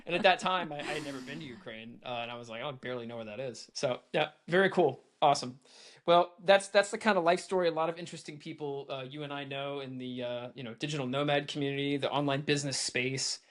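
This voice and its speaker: Fast-talking enthusiastic man host. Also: mid-range voice, a bit bright. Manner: chatty, enthusiastic, many fillers. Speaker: podcast host. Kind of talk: podcast interview.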